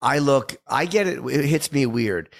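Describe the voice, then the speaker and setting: A man's voice: medium pitch, expressive, measured pace, gravelly. A man co-hosting a podcast whose voice is a little scratchy, talking bluntly, profanely and self-deprecatingly in casual chat. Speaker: podcast co-host.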